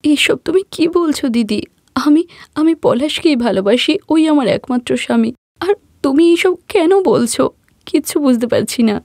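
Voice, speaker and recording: Woman playing a meek, high-voiced young wife. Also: animated, normal speed, darkish tone, dry recording, clean studio sound. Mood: distressed. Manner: pleading, plaintive. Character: meek, dutiful young daughter-in-law, wronged and gentle. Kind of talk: Bengali cartoon story voice acting.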